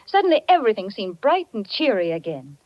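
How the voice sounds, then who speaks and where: A woman's voice: mid-range voice, very animated, brisk, no room sound. An American woman announcer reading a scripted 1940s radio advertisement in a cheery, gushing manner. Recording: muffled 1940s radio recording.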